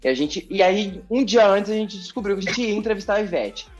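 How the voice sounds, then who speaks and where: A man with a Brazilian accent, a TV journalist chatting as a podcast guest, telling a story in an animated, expressive way and repeating words for emphasis. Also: high voice, a little fast, lo-fi sound, soft background music. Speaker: TV journalist and presenter, interview guest. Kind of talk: casual podcast interview storytelling.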